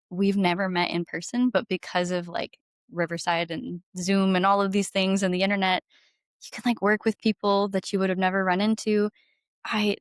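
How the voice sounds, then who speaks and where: A woman's voice: mid-range voice, clean tone, no room sound, studio quality. A woman chatting casually as a podcast guest, with an enthusiastic, informal way of talking. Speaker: podcast guest, singer-songwriter. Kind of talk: casual chat.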